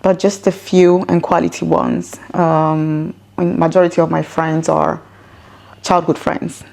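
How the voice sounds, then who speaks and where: A woman's voice: low voice, little pitch movement, measured pace, creaky, a little mellow. A woman around her thirties or forties, from Ghana and Italy, with a mixed, partly American accent, answering in a conversational interview. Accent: multicultural mixed accent with some American. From born in Ghana, grew up in Italy. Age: 30s to 40s. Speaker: interview guest. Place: her own home, indoors.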